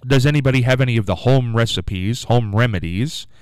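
A man's voice: mid-range voice, brisk, clear, resonant tone, dry recording. A man hosting a morning call-in radio show. He talks in a rambling, musing way and keeps asking listeners to phone in. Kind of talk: casual call-in radio talk. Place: radio studio. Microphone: studio microphone.

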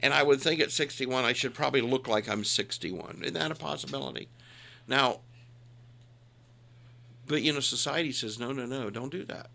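Gravelly folksy man in his sixties. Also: mid-range voice, moderate pace, bright, forceful tone. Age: 60s. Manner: folksy, self-deprecating, emphatic. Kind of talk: casual chat.

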